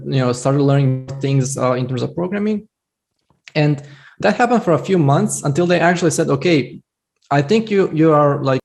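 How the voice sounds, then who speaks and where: A man's voice: fairly high pitch, vocal fry, a little mellow. A young man from Croatia, an English speaker, telling his career story casually on a headset mic in a small home room, with many fillers and restarts. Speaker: software developer guest.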